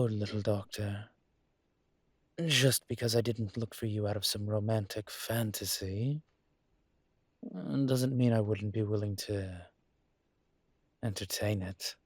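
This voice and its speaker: A man playing a suave, courteous dragon mafia boss in an intimate roleplay audio, with a husky, breathy voice and a gentle, teasing formality. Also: on the low side, no room sound, full character voice.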